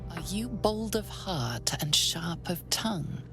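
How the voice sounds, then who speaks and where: A hushed, solemn woman narrates a fantasy video game. Her low voice has a creaky edge and moves slowly and knowingly over clear background music. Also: very animated, exaggerated voice. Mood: solemn, ominous. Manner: breathy, deliberate, whispery. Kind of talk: scripted second-person game narration. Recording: prominent music behind.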